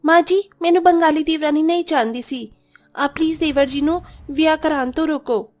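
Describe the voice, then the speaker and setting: A woman's voice: high-pitched, normal speed, pitched above the performer's own voice, close to an everyday voice. A woman playing a peevish, dramatic Punjabi elder sister-in-law who whines and complains indignantly in a cartoon story. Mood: whining, upset.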